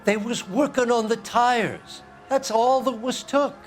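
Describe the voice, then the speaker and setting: A man's voice: very high-pitched, unhurried, music in the background. An actor voicing a cranky, nosy old American man in a video game, irritable, defensive and folksy. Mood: boastful, animated.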